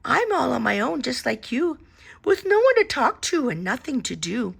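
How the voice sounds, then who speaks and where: A woman voicing a small excitable boy who giggles easily, in a squeaky, excited voice. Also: a little slow, gravelly, exaggerated voice. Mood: shy.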